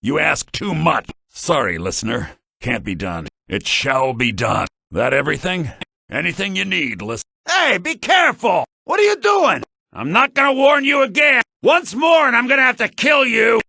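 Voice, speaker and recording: An actor playing a brash, sharp-tongued fantasy townsman and fighter in a video game, with a rough, gravelly voice. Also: high-pitched, very animated, normal speed, no echo, studio quality, heavily acted. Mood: angry warning.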